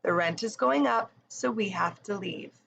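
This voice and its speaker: A woman's voice: medium pitch, normal speed, gravelly, a bit bright, a little hiss or hum. A woman narrating a children's book as a scripted read-aloud. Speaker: storybook narrator.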